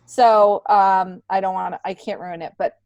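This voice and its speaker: A woman's voice: medium pitch, steady tone, normal speed, darkish tone, no echo, highs cut off. A middle-aged woman, a podcast guest, telling stories in a casual, chatty, meandering way. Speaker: podcast guest, stand-up comedian. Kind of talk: casual conversational storytelling.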